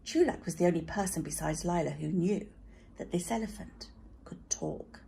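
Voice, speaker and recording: A woman reading a children's story aloud as a teacher, in an expressive narrating style. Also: fairly low pitch, moderate pace, creaky, a little hiss or hum.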